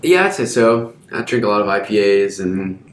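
A man's voice: lowish voice, lively intonation, normal speed. A young adult man, raised in Hungary, chatting casually as a podcast guest. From grew up in Hungary, lives in Austin. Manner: reads ad copy, then chats loosely with fillers. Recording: echoey room, faint noise.

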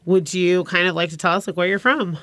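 A woman's voice: lowish voice, fairly even intonation, brisk, fairly bright tone, no room sound, studio quality. A woman hosting a casual interview, inviting her guest to share her story with hesitant questions. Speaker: host.

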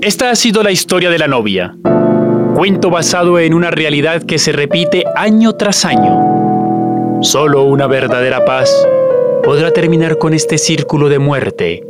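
A man's voice: fairly high pitch, expressive, a little slow. A man narrating a tragic story as a dramatic, scripted tale. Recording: loud music under the voice.

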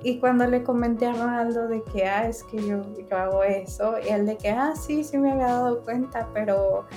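A woman's voice: a little high, moderate pace, dark tone, faint music behind. A woman with a northern Mexican accent who says "sh" in place of "ch", co-hosting a podcast in playful, teasing casual chat. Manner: playful and teasing, prompting her co-host. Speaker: podcast co-host.

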